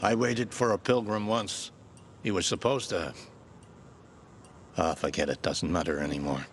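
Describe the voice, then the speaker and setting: An actor playing a cynical, world-weary middle-aged ex-soldier in a game cutscene. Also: male voice, sits low, fairly even intonation, a little slow, slight background noise. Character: jaded, gruff middle-aged male ex-soldier. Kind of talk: video game cutscene voice acting.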